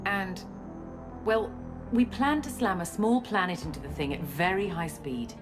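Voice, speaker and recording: Woman acting a resolute, low-voiced female scientist, music behind. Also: a little slow, lightly acted. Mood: composed, explaining. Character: resolute, guarded female scientist and human operative in space. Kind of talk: video game cutscene acting. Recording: music under the dialogue.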